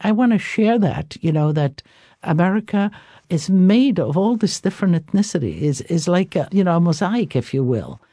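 A woman's voice: on the low side, sing-song, normal speed, dark tone, no room sound, studio quality. An older woman chef from Istria with an Italian accent, telling her story in a radio interview, with many fillers. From Istria, Italy/Croatia. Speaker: chef, guest.

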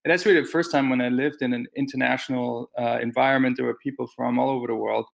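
A man's voice: mid-range voice, steady tone, quick pace, creaky. A man in his forties from Austria, a guest answering interview questions over a teleconference, hesitant with many fillers and restarts. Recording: teleconference call audio.

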